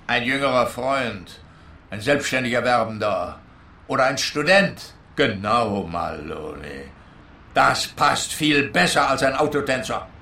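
Pompous policeman, pedantic and insistent, sharp bright tone, roomy sound, comic radio play. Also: mid-range voice, animated, measured pace. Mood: smug. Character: pompous, self-assured policeman spouting absurd statistical theories. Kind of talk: German-language comic detective radio play.

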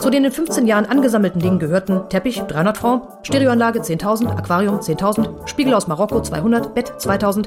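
Woman narrating over clear music. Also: medium pitch. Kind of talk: scripted literary narration for audio drama.

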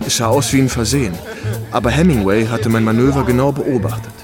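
An actor playing a young war correspondent who recounts his memories in measured, vivid radio play narration. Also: mid-range voice, close to an everyday voice. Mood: gripping, vivid. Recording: clear music behind. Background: music.

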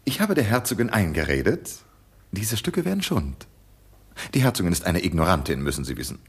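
Casting: a sly, mock-courteous schemer, low husky voice, lively pitch. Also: male voice, brisk, exaggerated voice. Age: young adult. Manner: cocky, mock-polite. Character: cheeky scheming young man, detective's son.

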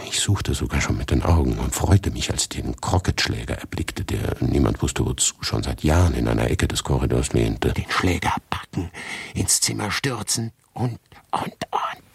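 A man with a husky, breathy voice narrating a literary radio drama intensely and suspensefully, at times dropping to whispers. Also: very deep voice, expressive, moderate pace, no echo. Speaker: first-person narrator. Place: dry studio.

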